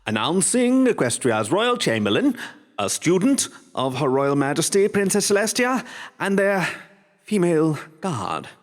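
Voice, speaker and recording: A mocking, insinuating vizier for a fan-made radio play. The voice sits fairly high and swoops theatrically through mock politeness. Long hall reverb surrounds it. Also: male voice, very animated, a little slow. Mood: haughty. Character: sly, mocking male royal vizier, needling an old rival.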